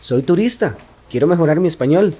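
A man's voice: fairly high pitch, expressive, brisk, warm tone, husky. A man playing a polite, plain-spoken tourist at customs in a scripted language-lesson dialogue.

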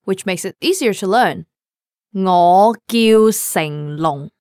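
A native Cantonese woman co-hosting a scripted language lesson, with a Cantonese accent, modelling phrases slowly and carefully. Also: medium pitch, a little mellow, smooth, no echo. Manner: careful slow model pronunciation. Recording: dry studio recording.

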